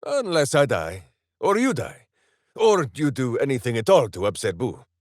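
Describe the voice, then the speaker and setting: An actor playing a big-hearted, dim-witted heroic ranger in a video game, bombastic and earnest, speaking of himself in the third person. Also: male voice, medium pitch, wildly swooping pitch, moderate pace, no room sound, clean studio sound. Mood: boisterous. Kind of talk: video game character voice lines.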